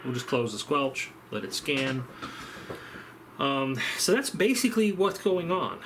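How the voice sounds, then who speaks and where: A man giving an informal, unscripted technical explanation as a demonstrator, halting as he thinks aloud. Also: mid-range voice, sing-song, background noise. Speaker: presenter of an electronics demonstration.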